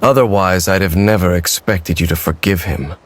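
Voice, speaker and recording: An actor playing a stern, bitter immortal knight in a video game, speaking in a cold, measured, formal way. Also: male voice, sits low, a little hiss or hum, lightly acted. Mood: grudging. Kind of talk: dramatic video game voice acting.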